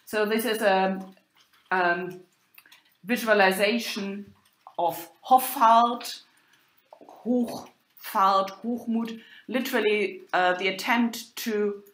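Slow, halting woman lecturing. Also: medium pitch, fairly even intonation, fairly bright tone. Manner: hesitant, many fillers and pauses. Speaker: university lecturer. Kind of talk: recorded lecture over slides. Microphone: recorded voice-over for slides. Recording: roomy echo.

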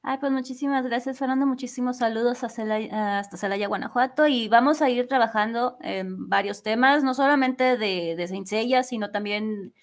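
A woman's voice: on the high side, normal speed, few pauses. A woman, chatting casually and a bit ramblingly as an interviewed fandub singer. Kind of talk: casual live interview chat.

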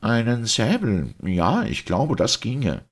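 A man's voice: fairly low pitch, wildly swooping pitch, takes it slowly, voice pushed up, full character voice. A man voicing a worried older doctor who speaks hesitantly, as if pained.

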